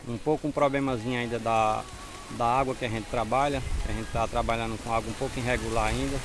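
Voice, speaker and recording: A man's voice: mid-range voice, vocal fry. A middle-aged man from Ceará with a northeastern Brazilian accent, speaking plainly as a farmer in a casual outdoor interview. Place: outdoors on a farm. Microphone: handheld mic with furry windscreen. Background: music.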